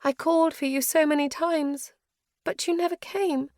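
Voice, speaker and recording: A woman voicing the ghost of a dead mother who pleads with her child in a breathy, tender way. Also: sits high, fairly even intonation, moderate pace, a little mellow, dry recording, studio quality, pitched above the performer's own voice, close to an everyday voice. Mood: tender pleading.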